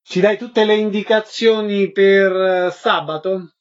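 A man's voice: sits high, steady tone, takes it slowly. A man hosting a conversational radio interview, asking questions and addressing the guest. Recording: slightly dull, lo-fi radio sound.

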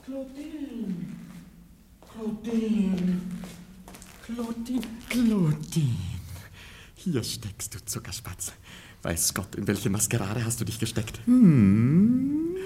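A man's voice: medium pitch, mellow, breathy edge. A man playing a talkative, lovestruck and easily frightened servant in a radio play, theatrical and full of cooing exclamation. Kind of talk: German radio play acting.

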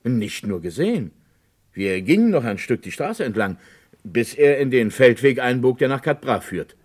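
An actor playing a self-assured, discreetly insinuating village notary in a German radio crime drama, speaking formally and carefully. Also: male voice, medium pitch, big pitch swings, normal speed. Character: precise, discreetly insinuating male French village notary and lawyer.

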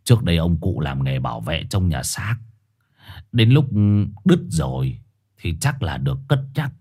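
A man putting on a deep gruff old street dog. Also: very animated, normal speed, warm tone, heavily acted. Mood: hushed, lecturing. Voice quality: gruff, husky. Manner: coarse, swearing, lecturing.

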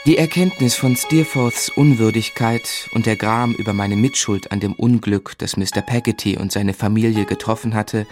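An actor playing a melancholy gentleman who narrates his own past in a radio drama, measured, literary and reflective. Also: lowish voice, lightly acted. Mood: sorrowful, reflective. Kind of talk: scripted first-person narration in radio drama. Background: music.

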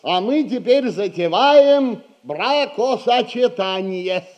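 An actor playing a pompous, feckless middle-aged merchant's son who wheedles in florid phrases, in a 1960s radio stage comedy. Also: male voice, high-pitched, lively intonation, slow pace, lo-fi sound. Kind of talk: classic Russian stage comedy acting, 1960s radio play.

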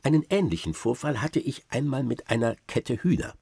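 Husky, boastful gentleman hunter spinning yarns. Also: mid-range voice, very animated, brisk, no echo, heavily acted. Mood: boastful, relishing. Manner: ornate, self-satisfied raconteur, addressing gentlemen listeners. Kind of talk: theatrical first-person storytelling, German radio drama.

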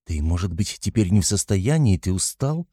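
A man voicing a proud, quick-tempered young woman in an audiobook, a concerned sister who is earnest and holds herself in check. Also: sits low, very animated, mellow, dry recording, studio quality. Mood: restrained. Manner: earnest, self-controlled.

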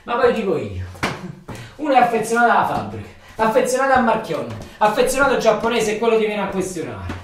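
A man's voice: sits high, huge pitch swings, fast. A man with a Neapolitan accent playing an embittered, rambling factory worker in a theatrical monologue, colloquial and repetitive. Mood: indignant. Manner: colloquial, repetitive, ranting and muttering. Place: echoey room or hall, far from the mic. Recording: echoey, distant stage recording with faint noise.

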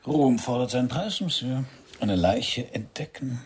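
An actor playing a wry, world-weary private detective in a noir radio play, with a dry, sardonic delivery. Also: male voice, medium pitch, animated, unhurried, darkish tone, slightly rough. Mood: probing, casual. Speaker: narrator and lead of a crime radio play. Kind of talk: first-person noir radio drama narration.

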